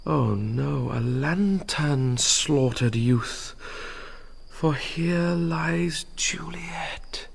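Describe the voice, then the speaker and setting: A man's voice: fairly high pitch, extremely slow, vocal fry, husky, exaggerated voice. An actor with a British accent playing a desperate, grief-stricken young nobleman in a Shakespearean radio drama, delivering the verse with theatrical intensity. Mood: grieving, bewildered.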